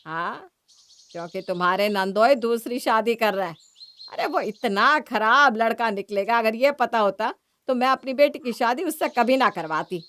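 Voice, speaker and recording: A woman's voice: a little high, animated, normal speed, a bit bright. A woman acting a firm, matronly mother-in-law. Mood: indignant. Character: middle-aged mother-in-law, firm, protective, scheming gently. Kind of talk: Hindi moral-story cartoon acting.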